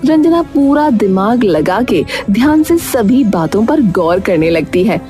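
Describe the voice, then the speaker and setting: A woman's voice: medium pitch, big pitch swings, unhurried, mellow. A woman narrating a cartoon moral tale as a storyteller, in a scripted style. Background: music.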